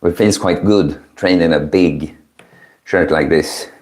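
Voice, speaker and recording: A man in his early thirties with a low-pitched voice, talking slowly to the viewers of his livestream. His delivery is chill and low-key, like an easygoing, informal chat. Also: steady tone, darkish tone. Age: early 30s. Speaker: livestream host. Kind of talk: casual chat with live stream viewers.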